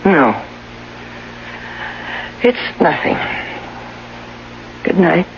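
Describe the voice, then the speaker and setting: An actress playing a frail invalid wife in a radio drama, anxious, loving and suspicious, with an emotional, pleading manner. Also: female voice, lowish voice, very animated, drawn-out words, full character voice. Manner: emotional, pleading, self-pitying. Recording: muffled, hissy old radio broadcast.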